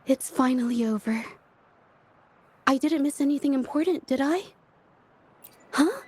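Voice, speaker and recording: A woman playing a shy, earnest young female painter in an anime-style video game, gentle-hearted and sincere. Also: sits high, expressive, a little slow. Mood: relieved, grateful. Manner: soft-hearted, earnest, hesitant when flustered. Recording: music behind the voice. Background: game soundtrack music.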